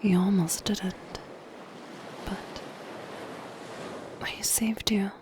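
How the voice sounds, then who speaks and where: A woman voicing a lonely, coyly possessive siren in a soft, breathy whisper for an ASMR roleplay. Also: mid-range voice, fairly even intonation. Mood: coyly menacing. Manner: slow, gentle, coyly possessive. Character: soft-spoken lonely siren, menacing yet shy and curious. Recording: ocean surf noise behind the voice. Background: ocean waves.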